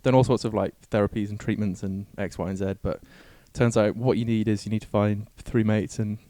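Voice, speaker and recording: Fast-talking, mellow young man. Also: on the low side, fairly even intonation, no echo. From Scotland. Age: 20s. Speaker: cyclist, podcast guest. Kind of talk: casual chat. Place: small cluttered office, indoors. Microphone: handheld microphone.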